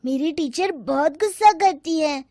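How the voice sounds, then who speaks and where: Woman doing an impatient high-pitched little boy. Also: lively intonation, measured pace, dark tone, no echo, studio quality. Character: impatient little schoolboy hurrying his father. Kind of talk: animated moral story dialogue.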